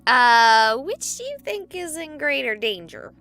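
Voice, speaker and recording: A high, animated female voice plays a stubborn young streamer in a horror visual novel. She is sarcastic and emphatic, with music running underneath. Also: unhurried, full character voice. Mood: exasperated. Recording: music behind the voice.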